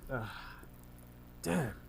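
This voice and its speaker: A man recounting family arguments in a rambling monologue, halting and often restarting his words, his voice congested and his throat sore. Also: mid-range voice, vocal fry, background noise. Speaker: vlogger recounting family conflicts. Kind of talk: rambling personal monologue over a screen recording.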